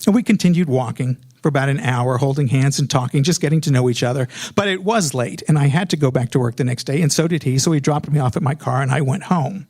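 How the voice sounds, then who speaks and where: A man's voice: medium pitch, quick pace, hardly any pauses, a little mellow. A middle-aged American man telling a personal story in a comedic, self-mocking way, animatedly acting out remembered dialogue.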